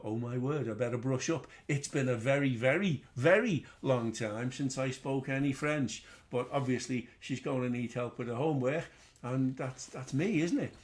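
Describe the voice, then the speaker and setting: A middle-aged man with a Liverpool accent, hosting a casual trading webinar in a chatty, rambling way with self-deprecating asides. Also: mid-range voice. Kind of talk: casual live webinar teaching.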